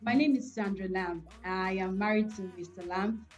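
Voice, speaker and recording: Gospel singer guest: Nigerian-accented woman, mid-pitched, earnest and devout, music underneath. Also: normal speed. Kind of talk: personal interview talk.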